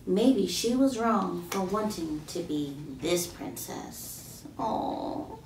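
A woman's voice: lowish voice, expressive, slow, vocal fry, a little mellow. A woman in her thirties reads a picture book aloud to children, expressively, stretching key words and asking her young listeners questions. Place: small plain indoor room. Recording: roomy, distant mic, faint background noise.

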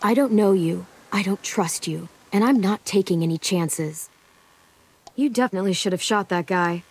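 A woman voicing a tough, guarded teenage girl surviving a zombie apocalypse in a video game, terse and dry, with a slightly husky voice. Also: medium pitch, moderate pace, rough recording. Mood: wary, defiant. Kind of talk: video game voice acting, isolated dramatic lines.

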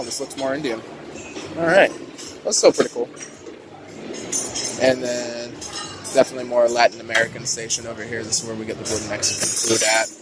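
A young man walking a buffet with a handheld phone camera, chatting casually and upbeat with many "ooh"s and "uh"s. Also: medium pitch. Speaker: vlogger. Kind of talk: casual walkthrough narration. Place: large indoor buffet restaurant. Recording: noisy restaurant phone video. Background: diner chatter and clatter.

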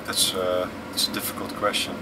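A man in his thirties whose first language is Dutch, answering interview questions aboard a tour bus in a relaxed, thoughtful way with many fillers. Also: low voice, normal speed. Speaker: musician guest. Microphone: camera close in front. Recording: distant mic with vehicle noise. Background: air conditioning and vehicle hum.

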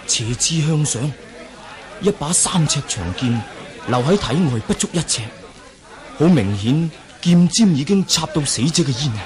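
An actor with a Hong Kong Cantonese accent playing an emphatic, marvelling onlooker in a martial arts radio drama. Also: male voice, on the high side, close to an everyday voice. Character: exclaiming male onlooker at a martial gathering. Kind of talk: 1980s radio drama acting. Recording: crowd murmur behind.

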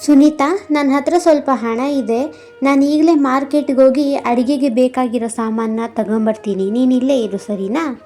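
A woman's voice: sits high, moderate pace, warm tone, soft background music, natural voice. A woman voicing a young, responsible orphaned elder sister in a cartoon, speaking plainly and earnestly. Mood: caring.

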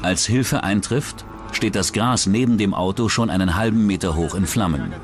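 A man's voice: low voice, normal speed, slight background noise. A man reading scripted true-crime narration as the narrator of a documentary.

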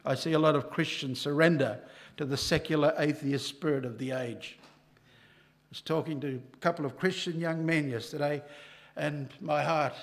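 An older man preaching a sermon at a church lectern microphone, emphatic and rising loud on key lines. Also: a little high.

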